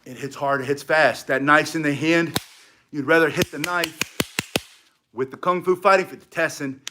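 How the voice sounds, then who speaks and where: A middle-aged man teaching stick self-defense in an energetic, chatty way, with short punchy phrases. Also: fairly high pitch, normal speed, background noise. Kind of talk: casual instructional demonstration.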